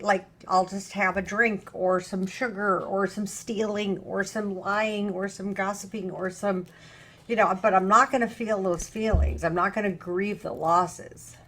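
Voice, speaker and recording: A woman's voice: mid-range voice, fairly even intonation, measured pace, a bit bright. A middle-aged woman hosting a casual conversation as a recovery counselor, talking emphatically and acting out little dialogues. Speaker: show host, recovery counselor.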